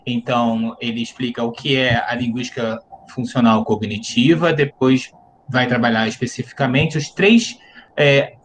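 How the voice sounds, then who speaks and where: A man's voice: mid-range voice, steady tone, moderate pace, slight background noise. A man, a university professor, giving an academic conference talk, explaining step by step while thinking aloud with frequent hesitant restarts, recorded on a computer mic during a video call.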